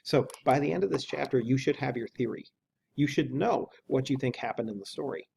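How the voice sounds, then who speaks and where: A man's voice: mid-range voice, a little fast, vocal fry, a little mellow, slight background noise. A man speaking as an English teacher, reading a novel aloud and explaining it informally.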